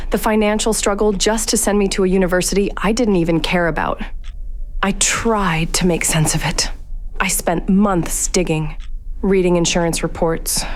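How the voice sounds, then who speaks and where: A woman's voice: lowish voice, close to an everyday voice. A woman playing a guilt-haunted, grieving young woman in an audio drama, introspective, brooding and wry. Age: young adult. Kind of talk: audio drama acting, confessional narration.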